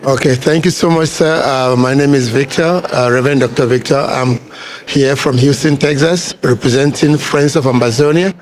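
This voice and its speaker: A pastor answers an interviewer earnestly, pausing on filler sounds as he gathers his thoughts. His pitch is middle-of-the-road for a man. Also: steady tone.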